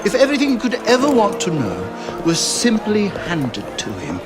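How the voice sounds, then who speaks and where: A flamboyant libertine nobleman in a game cutscene. His fairly high voice swoops dramatically as he teases and drawls with grand theatrical flourishes, over prominent music. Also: wildly swooping pitch, moderate pace. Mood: sly, musing. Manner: florid, teasing, drawling delivery with grand flourishes. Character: flamboyant, witty, theatrical aristocratic libertine schemer. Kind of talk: video game cutscene acting.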